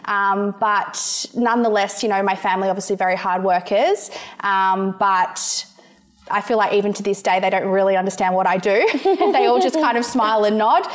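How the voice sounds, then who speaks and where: A woman's voice: mid-range voice, moderate pace. Confident young Australian woman. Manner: confident, reflective, many ums and "you know". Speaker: entrepreneur guest. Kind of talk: interview answers.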